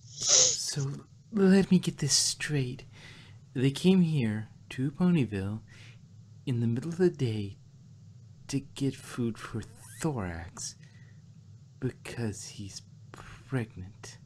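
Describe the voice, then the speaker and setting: A woman's voice: very deep voice, lively intonation, slow, long pauses, darkish tone, breathy edge, full character voice. A woman voicing a flustered, exasperated young female pony scholar in a fan fiction reading. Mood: incredulous.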